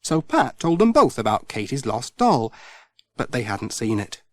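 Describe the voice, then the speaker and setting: Man reading a kids story, super expressive, pitch all over, dry close sound. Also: unhurried, no echo. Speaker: audiobook narrator. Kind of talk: scripted children's storybook narration.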